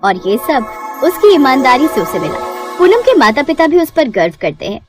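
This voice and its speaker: A woman's voice: high voice, sing-song, normal speed, music in the background. A woman reading scripted narration as the storyteller of a moral tale.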